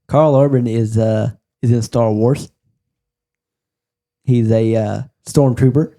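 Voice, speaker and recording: A man's voice: mid-range voice, fairly even intonation, takes it slowly, warm tone. A man talking casually about movies as a panelist on a podcast.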